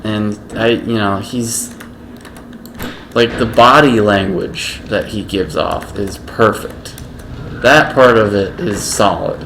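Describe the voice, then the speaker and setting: Male gaming vlogger, unhurried monotone delivery, audible hiss behind. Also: lowish voice. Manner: slow, halting, self-described monotone. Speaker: gaming video creator. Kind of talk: casual gameplay commentary.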